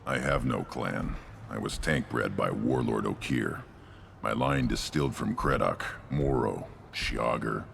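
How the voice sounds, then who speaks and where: A man voicing a young, proud, brutish alien warrior in a video game, speaking in terse, solemn declarations with a gravelly growl. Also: sing-song, measured pace, background noise, heavily acted. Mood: proud, defiant. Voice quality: extremely deep, gravelly growl. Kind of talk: video game character acting.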